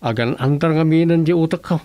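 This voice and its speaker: A man's voice: a little high, fairly quick, dark tone, no room sound, close to an everyday voice. A man playing a worried searcher in a radio drama, anxious and plain-spoken.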